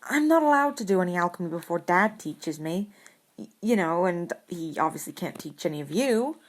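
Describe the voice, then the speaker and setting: A woman's voice: lowish voice, expressive, normal speed. A woman voicing a stubborn young schoolboy who talks hesitantly and a little defensively in a storybook reading.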